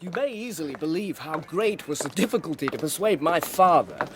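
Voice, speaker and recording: A man playing a cheerful, affectionate young gentleman in a dramatised period audiobook, courteous and effusive. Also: on the high side, lively intonation, fairly quick, noisy background, close to an everyday voice. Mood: delighted. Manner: effusive, courteous, formal phrasing.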